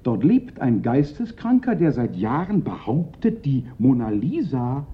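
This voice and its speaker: An actor playing a fretful, self-pitying police prefect of Paris in a German radio play, theatrical and given to panicky lament. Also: male voice, mid-range voice, big pitch swings, a little slow. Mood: dismissive, grumbling. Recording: dull, lo-fi radio play recording.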